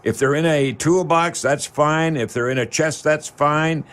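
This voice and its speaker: A man's voice: a little high, a little slow, fairly bright tone. A man with a Canadian accent hosting a live local radio show, talking in a rambling, folksy way straight to his listeners.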